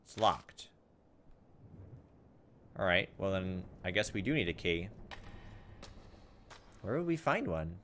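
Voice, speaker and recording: A man's voice: deep voice, big pitch swings, takes it slowly, long pauses, a bit bright, slight background noise. A man giving casual gameplay commentary as a let's play host, thinking aloud in a hesitant, musing way.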